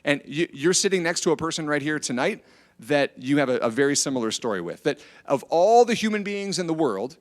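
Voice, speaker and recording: A man's voice: fairly high pitch, fairly quick. A middle-aged American man giving an informal motivational talk, telling stories conversationally and often checking in with his listeners. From Iowa, United States. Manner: conversational, animated storytelling, seeks agreement with tag questions. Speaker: speaker at a church family event. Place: church, speaking to a gathered group.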